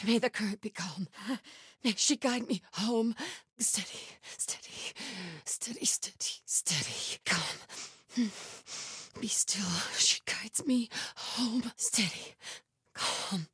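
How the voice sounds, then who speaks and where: A woman's voice: fairly high pitch, takes it slowly, pauses often, slightly rough, dry recording, in a whisper, clean studio sound, exaggerated voice. A woman playing a fierce, devout cult fighter in a video game, delivering her lines with fanatical conviction. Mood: hushed, prayerful. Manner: urgent shouted battle calls, hushed prayerful murmurs.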